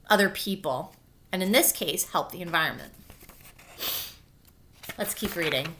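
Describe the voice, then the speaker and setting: A woman's voice: mid-range voice, sharp tone. A woman with a mid-range voice reads aloud as a teacher would to a class of young children. She moves between the text of the book and her own explanations, keeping her wording simple and speaking directly to her pupils.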